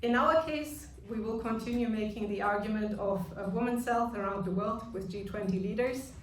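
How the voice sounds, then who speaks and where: A woman's voice: medium pitch, normal speed. German-accented woman speaking in an echoey hall. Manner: earnest, with hesitant fillers. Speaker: award speaker. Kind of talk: speech at an awards evening. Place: large echoey function room. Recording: echoey room, distant mic, music behind.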